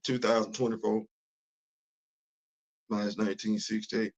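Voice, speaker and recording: A man in his fifties hosting a casual livestream, talking in an animated, preachy way, often repeating words and restarting his sentences. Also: on the low side, measured pace. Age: 50s. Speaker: host of a commentary livestream. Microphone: phone.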